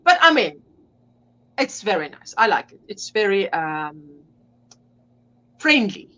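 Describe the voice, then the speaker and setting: A woman's voice: medium pitch, big pitch swings, slow pace, pushed and bright, muffled recording. A woman with an Afrikaans accent, a native Afrikaans speaker, chatting as a guest on a livestream and often searching for the right English word. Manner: chatty, searches for words and restarts. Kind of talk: casual livestream chat.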